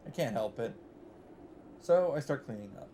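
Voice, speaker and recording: A man of middle pitch hosts a let's play of a visual novel, reading its narration aloud at an even pace. He now and then breaks off into blunt, cursing remarks. A faint noise sits under his voice. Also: moderate pace. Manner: reads narration evenly, breaks in with swearing asides.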